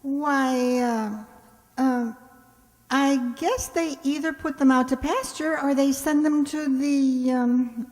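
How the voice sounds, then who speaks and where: An actress playing a sensible young woman with a high, lively voice. She coaxes and stalls in a slow, soothing radio comedy delivery, heard in a reverberant hall. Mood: evasive, soothing. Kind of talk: old-time radio comedy acting. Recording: hall reverb on stage-like recording.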